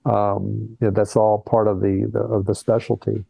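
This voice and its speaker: A man's voice: lowish voice, little pitch movement, moderate pace, vocal fry, breathy edge, no room sound, phone-quality sound, soft background music. An older man who is a plastic surgeon, talking earnestly in a conversational interview over a video call and often restarting his words. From born in Colombia, raised in Brazil and the US.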